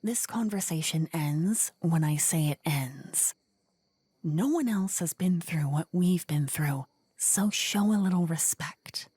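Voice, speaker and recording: A woman's voice: low voice, slow, warm tone, husky, no room sound, full character voice. A woman playing a spiteful, taunting rival necromancer woman in a whispery fantasy ASMR roleplay, menacing and mocking. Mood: indignant, demanding.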